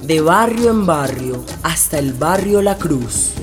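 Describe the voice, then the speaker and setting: A radio drama title announcer. The woman reads slowly with animated, swooping intonation over clear music. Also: low voice. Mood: solemn. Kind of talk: measured dramatic title reading. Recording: music behind.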